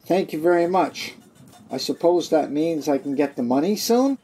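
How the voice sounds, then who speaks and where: A man acting as an aggrieved son who hides his guilt in a radio mystery drama, with a husky voice. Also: a little high, lively intonation, moderate pace, dark tone. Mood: guarded, recounting. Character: aggrieved son, a scheming killer feigning grief.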